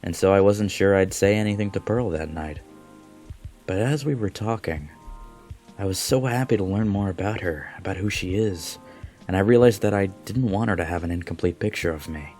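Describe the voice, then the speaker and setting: Low-voiced, gentle, earnest alien played by a man. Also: soft background music, close to an everyday voice. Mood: modest, sincere. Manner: polite, sincere, measured. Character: gentle, earnest, modest male alien café regular. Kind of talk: visual novel fan dub.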